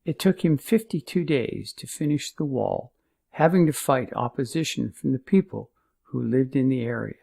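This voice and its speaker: A man's voice: medium pitch, moderate pace, vocal fry, warm tone, slightly rough. A man reading a scripted narration of Bible history as a narrator.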